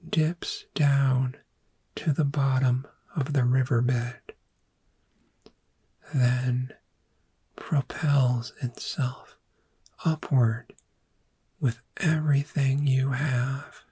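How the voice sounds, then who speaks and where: Woman doing a gentle dragon therapist, asmr roleplay, whispery and mellow, super slow and reassuring. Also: extremely low pitch, fairly even intonation, exaggerated voice. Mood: calm, guiding. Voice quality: breathy, whispery. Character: gentle dragon therapist, soothing, encouraging, patient. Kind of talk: soft-spoken ASMR roleplay.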